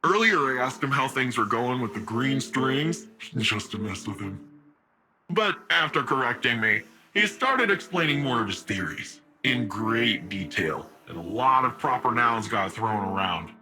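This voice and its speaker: A man's voice: medium pitch, expressive, measured pace, lightly acted, rough recording. A man playing a youthful, earnest cyber-enhanced hero in a video game, chatty and informal. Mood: breezy, playful. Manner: informal, breezy. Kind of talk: conversational video game acting.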